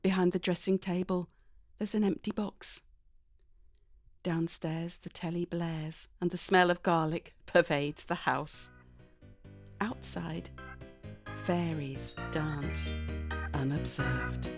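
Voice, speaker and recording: A woman reading a first-person story aloud in an expressive, dramatic way. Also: on the low side, takes it slowly, pauses often, soft background music. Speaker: story reader.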